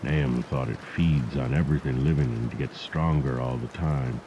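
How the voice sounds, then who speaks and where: A man voicing a frightened old farmer in a horror audiobook, speaking a rustic country dialect in hushed, grave tones. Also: very deep voice, moderate pace, voice pushed down. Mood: hushed, fearful. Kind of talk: horror audiobook character dialogue. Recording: muffled degraded cassette tape with rain noise. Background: rain and storm sounds.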